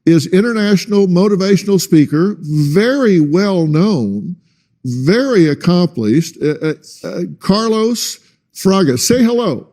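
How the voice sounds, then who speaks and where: Deliberate probing talk show host. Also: male voice, high voice, lively intonation, warm tone. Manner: deliberate, probing questions with hesitant restarts. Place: tv studio.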